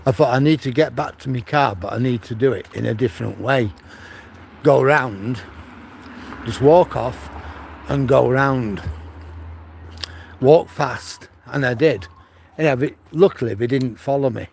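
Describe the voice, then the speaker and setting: Gravelly older man chatting on a seafront walk. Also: medium pitch, measured pace, pauses often, darkish tone, slight background noise. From Manchester, England. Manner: chatty, rambling storytelling with frequent restarts. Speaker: vlogger walking with his dog. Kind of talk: casual walking livestream chat. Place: outdoors on a seaside promenade. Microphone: phone on a gimbal.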